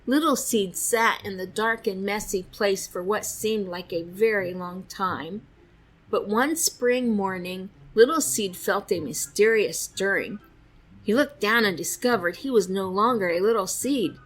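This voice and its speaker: A woman reading a children's storybook aloud in an expressive, deliberate storytelling style, close on a studio microphone. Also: mid-range voice, rough recording. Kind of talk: expressive read-aloud narration. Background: faint music.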